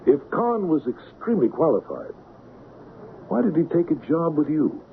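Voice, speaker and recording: An actor playing a shrewd, persistent police lieutenant in an old radio crime drama, with a dry, probing manner. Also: male voice, fairly high pitch, sing-song, moderate pace, creaky. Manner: dry, probing, hesitant lead-ins. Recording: narrow, noisy old radio broadcast.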